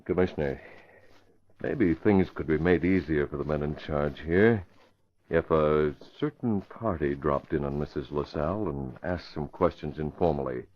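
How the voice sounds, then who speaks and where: An American man playing a calm, decisive publisher and secret vigilante in an old-time radio mystery, measured and commanding. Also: a bass voice. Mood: calm, scheming. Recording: dull vintage radio recording.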